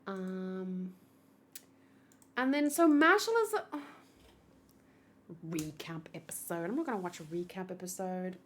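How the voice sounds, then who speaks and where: A woman's voice: fairly low pitch, animated, a little slow, long pauses, a little hiss or hum. A young woman streamer chatting casually and rambling through a game reaction, with playful little exclamations. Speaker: gaming streamer. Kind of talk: casual livestream chat and reaction.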